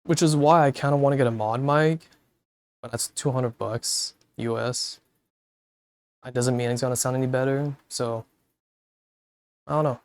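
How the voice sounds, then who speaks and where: A man talks in an easygoing, rambling way, dropping curses and little chuckles between thoughts. His voice comes through smooth and clean at a middling pitch. He speaks into a cheap wireless headset mic set well away from his mouth. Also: moderate pace, long pauses, no echo. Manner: relaxed and rambling, swears freely, chuckles mid-sentence. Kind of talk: casual chat. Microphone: cheap wireless VR headset mic held far from the mouth.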